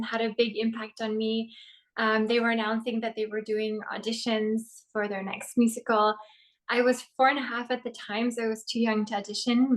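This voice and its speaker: Measured actress, flat tone. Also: female voice, on the high side. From Norway. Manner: thoughtful and measured, with frequent ums. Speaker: actress, interview guest. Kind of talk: casual interview answers.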